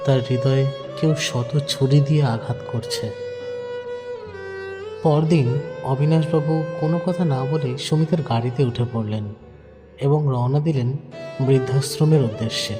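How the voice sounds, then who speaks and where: A man narrating a scripted audio drama in a dramatic storytelling style. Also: medium pitch. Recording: long reverb under clear background music.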